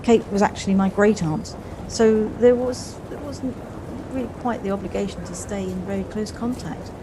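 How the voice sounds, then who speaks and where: A woman's voice: mid-range voice, moderate pace, lightly acted. A woman acting a flustered, wistful middle-aged woman in an audio play, with hesitant, reflective speech that repeats words. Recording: noisy home recording on personal device.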